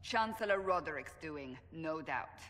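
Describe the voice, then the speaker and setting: A stern, resolute warrior in echoing reverb. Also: female voice, deep voice, animated, measured pace, a bit bright. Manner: formal, firm, commanding. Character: stern, resolute armored female warrior of a fantasy order. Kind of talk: dramatic fantasy game acting.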